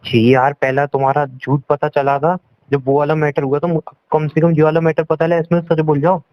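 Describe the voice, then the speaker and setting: A man's voice: fairly high pitch, level tone, normal speed, no room sound. A young man arguing on a phone call in an accusing, scolding and repetitive way. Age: young adult. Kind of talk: heated relationship argument in casual Hindi-English talk. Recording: dull lo-fi call recording.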